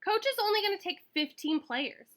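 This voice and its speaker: A woman's voice: very high-pitched, expressive, fairly quick, a bit bright, voice pushed up. A woman voicing a small, worried but determined young boy in a children's storybook, speaking earnestly.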